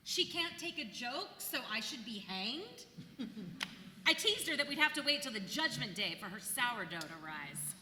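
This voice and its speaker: A woman's voice: fairly high pitch, sing-song, normal speed, sharp tone, heavily acted. A woman in a staged play reading, playing a bawdy, weary seventeenth-century village housewife with a mocking, emphatic way of talking. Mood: indignant, mocking. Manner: mocking, irreverent, emphatic storytelling. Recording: echoey hall, distant, noisy room tone.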